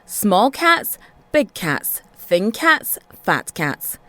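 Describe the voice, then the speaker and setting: Animated woman storyteller. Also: mid-range voice, extremely slow. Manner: dramatic, rhythmic delivery with repeated phrases. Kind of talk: expressive children's storytelling.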